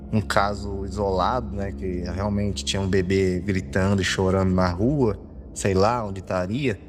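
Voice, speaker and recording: A young Brazilian man tells his own story in an informal, conversational way. His fairly low voice was recorded on a phone and carries a long reverb, with faint music underneath. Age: 20s.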